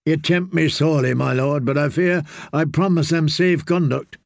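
A man voicing a smooth, wry, scheming male lord protector. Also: fairly high pitch, steady tone, moderate pace, dry recording, clean studio sound.

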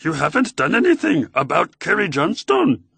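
Man doing male speech-synth voice, Yoda-like, gravelly, breathy, sing-song, insinuating. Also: a little high, huge pitch swings, normal speed, pushed and bright, dry recording, voice pushed up, exaggerated voice. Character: male text-to-speech phone voice, Yoda-like, menacing. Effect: phone call.

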